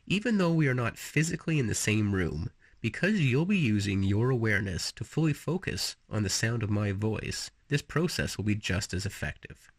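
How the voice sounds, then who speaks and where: A man leading a guided relaxation meditation with storytelling, speaking slowly and soothingly in an instructive way with pauses. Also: fairly low pitch, lively intonation, slightly rough, no room sound, clean studio sound.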